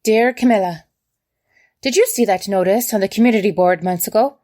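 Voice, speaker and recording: A woman's voice: medium pitch, a little fast, warm tone, natural voice. A woman playing a fussy, outspoken hobbit who huffily tells her tale in a letter, in an audio drama. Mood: indignant, scandalized. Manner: huffy, prim, chatty storytelling.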